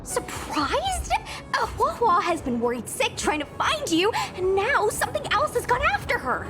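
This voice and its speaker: An excitable young girl from a video game. Her voice is extremely high and lively, tripping over words in a flustered, anxious way, with loud music behind it. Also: moderate pace, exaggerated voice. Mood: indignant. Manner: stammering, flustered. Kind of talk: animated video game voice acting.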